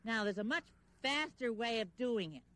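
A man voicing a childlike, deliberate demonstrator fussing over his toys in a children's educational sketch. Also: extremely high pitch, expressive, sharp tone, exaggerated voice. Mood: busy, pleased.

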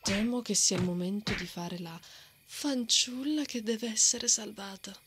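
A woman playing a brave, hot-tempered, bossy female knight in an ASMR roleplay, often breathy and muttering threats to herself. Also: mid-range voice, unhurried, background noise, natural voice. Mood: sly, muttering. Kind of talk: ASMR roleplay, acted monologue. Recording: noticeable outdoor ambience effects behind.

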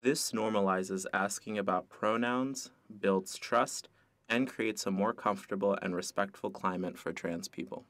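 A man's voice: lowish voice, measured pace, fairly bright tone, no room sound. A young man narrating a training video and acting in casual role-play scenes.

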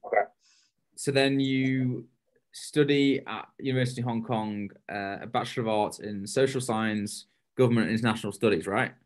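A man in his thirties hosting a casual interview, asking questions in informal, halting phrases. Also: mid-range voice, steady tone. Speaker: podcast host, interviewer. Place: indoor home room, video call.

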